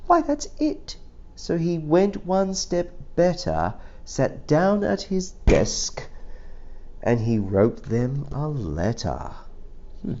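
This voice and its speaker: A man reads a children's story in verse with a soft, mellow tone. His pitch moves around a lot as he draws out the lines and adds asides and sound effects. The recording is a little dull and lo-fi. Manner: expressive, drawn-out delivery with sound effects and asides. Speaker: storybook reader. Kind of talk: animated rhyming story read aloud with playful asides.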